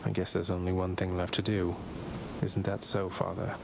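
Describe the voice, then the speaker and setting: An actor playing a rebellious young prince in a video game, a defiant son with a sardonic, understated delivery. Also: male voice, sits low, monotone, normal speed, vocal fry, noisy background. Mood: dry defiance.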